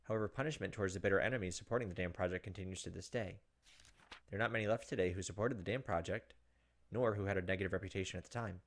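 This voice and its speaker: Low-voiced man reading a game aloud. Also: racing. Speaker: gaming video host reading a visual novel aloud. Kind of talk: narrated let's play, dramatic reading with casual asides. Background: soft game music.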